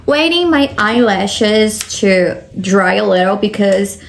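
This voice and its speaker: A woman's voice: medium pitch, lively intonation, slow, vocal fry. A young woman from Brazil with a Brazilian Portuguese accent, talking through a makeup tutorial step by step and often saying "like". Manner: step-by-step explaining, frequent "like" fillers. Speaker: makeup vlogger.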